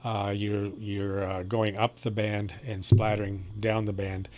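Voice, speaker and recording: A man's voice: lowish voice, level tone, unhurried, darkish tone. A man working as an amateur radio operator, talking in a plain, explanatory, unscripted way during a radio contact. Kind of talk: unscripted ham radio conversation, technical advice. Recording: clear background noise and electrical hum.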